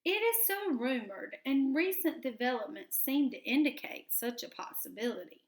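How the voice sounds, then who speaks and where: A woman's voice: high-pitched, lively intonation, normal speed, voice pushed up. A woman voicing a dignified male rabbit father who speaks in formal, stately words.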